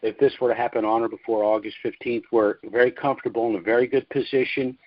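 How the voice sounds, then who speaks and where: A man speaks in a mid-pitched voice over dull, lo-fi conference-call audio. He hosts an informal financial update as a monologue. His delivery is deliberate and measured, broken into short phrases with pauses, and the sound is dry. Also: steady tone, dry recording. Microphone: conference call line.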